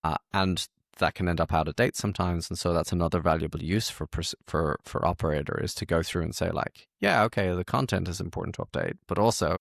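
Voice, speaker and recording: A man with a low voice and a gravelly edge hosts a casual interview. He talks haltingly, circling back to restart phrases, in a dry, close sound. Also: dry recording. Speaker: host.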